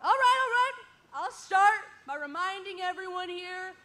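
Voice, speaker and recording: Sarcastic woman, very high bright voice. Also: expressive, moderate pace. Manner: sarcastic, mocking, needling. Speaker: talk segment host, wrestler. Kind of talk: wrestling talk show promo. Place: indoor arena.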